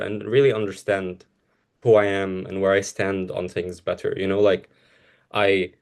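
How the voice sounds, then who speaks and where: A man's voice: lowish voice, a little mellow. A young man from Egypt whose first language is Arabic, co-hosting a casual chat, laughing often and talking in rambling restarts. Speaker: co-host. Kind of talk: casual conversation.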